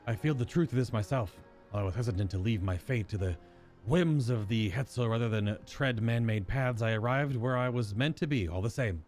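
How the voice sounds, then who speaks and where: A man's voice: mid-range voice, fairly quick, darkish tone, soft background music, close to an everyday voice. A man voicing an earnest, courteous nobleman from a fantasy game, formal and reflective in his wording. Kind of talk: reading fantasy game dialogue aloud.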